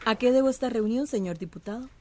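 An actress playing a scheming, self-assured small-town mayoress in a radio drama, formal and reassuring. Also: female voice, a little high, quick pace, darkish tone, slight background noise, close to an everyday voice. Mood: guarded, courteous.

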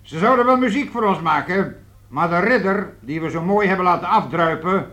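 Brash, high-voiced medieval archer leader. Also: male voice, lively intonation, moderate pace, fairly bright tone, no room sound. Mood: rousing speech. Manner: mocking, commanding, blunt. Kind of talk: radio play acting. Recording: faintly noisy old radio play recording.

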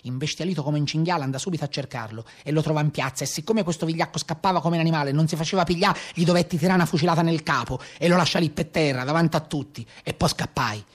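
A man with a Tuscan accent playing a rough peasant brigand of the Maremma in a theatrical storytelling monologue. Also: on the high side, very fast, hardly any pauses, natural voice. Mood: grim narrating. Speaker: stage actor. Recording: live guitar music behind.

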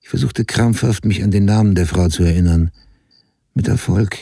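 An actor playing a writer who soberly narrates his past in a radio drama, with a husky, dark, gravelly voice. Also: sits low, normal speed, no echo, clean studio sound. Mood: sober, reflective. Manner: sober, matter-of-fact. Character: grown male writer soberly recounting his murdered mother's case. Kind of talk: scripted first-person narration, radio drama.

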